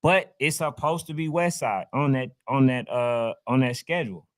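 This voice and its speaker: A man's voice: medium pitch, normal speed. A man hosting a sports talk show, explaining himself in casual slang and repeating his points. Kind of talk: casual, heated sports chat.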